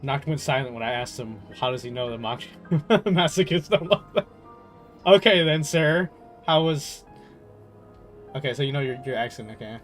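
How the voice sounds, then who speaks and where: A man livestreaming a game and chatting casually with viewers in a jokey, animated storytelling manner. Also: mid-range voice, normal speed. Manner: animated storytelling, jokey, swearing, laughs often. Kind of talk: casual chat with viewers while gaming. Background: game music.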